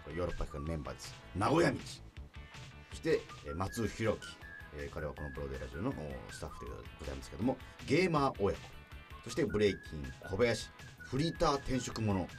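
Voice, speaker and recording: Male radio DJ, low-pitched and expressive, mixing announcements chat, clear music bed. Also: fast talker. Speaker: radio show host, actor. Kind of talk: scripted radio hosting with casual chat. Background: background music.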